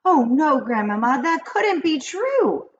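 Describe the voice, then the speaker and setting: A woman's voice: sits high, big pitch swings, slow pace, highs cut off, pitched above the performer's own voice, exaggerated voice. A woman voicing an anxious, curious young grandchild in a children's storybook, asking questions with wide-eyed eagerness. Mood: anxious, shocked. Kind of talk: children's storybook read-aloud.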